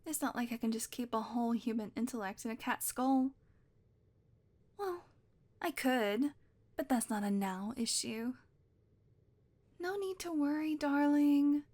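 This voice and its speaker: High soft-voiced witch lady, sweet but kinda menacing, woman doing a close-mic whispery roleplay. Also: unhurried, long pauses. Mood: teasing. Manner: soft, doting, teasing, drifts into whispers. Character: sweet possessive female witch, playfully menacing. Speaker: audio roleplay performer. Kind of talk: scripted ASMR roleplay.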